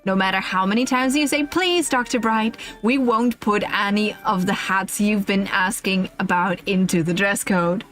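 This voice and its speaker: A woman's voice: mid-range voice, normal speed, few pauses, a bit bright. Amused woman reading aloud over music. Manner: amused, giggly, playful. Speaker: streamer reading a humorous list aloud. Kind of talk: casual live reading with asides and commentary. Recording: music playing behind the voice. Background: background music.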